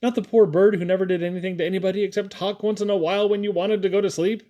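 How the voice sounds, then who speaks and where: A melodramatic young sister in a storybook, acted out by a man, high in pitch and animated. She is defiant and quick to plead or argue. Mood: desperate pleading. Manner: melodramatic, argumentative.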